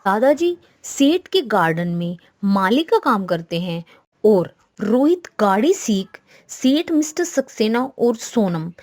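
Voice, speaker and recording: Female storybook narrator: lively intonation, high register, scripted delivery over a faint music bed.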